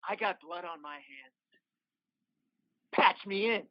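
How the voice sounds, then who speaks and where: A man's voice: high voice, slow, no room sound, dull audio. A man playing a menacing, defiant young biker mutated with metal in an audio drama, commanding and taunting.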